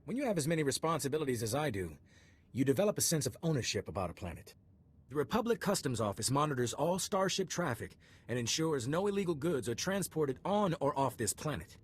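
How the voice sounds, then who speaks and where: An actor playing an officious, self-important customs agent in a video game, pompous and condescending. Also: male voice, on the high side, sing-song, fairly quick, slightly rough. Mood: smug warning.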